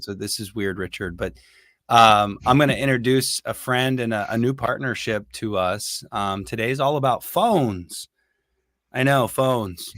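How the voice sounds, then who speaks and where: Hoarse dude hosting a podcast, sharp bright voice, super dry mic, says dude a lot. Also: male voice, fairly low pitch, no echo. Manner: laid-back, joking, says dude a lot. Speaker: podcast host. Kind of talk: casual interview chat.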